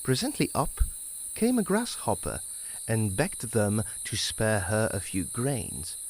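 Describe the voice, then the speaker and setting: A man narrating fables as a guest storyteller in an expressive storybook style. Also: on the low side, slow, slight background noise.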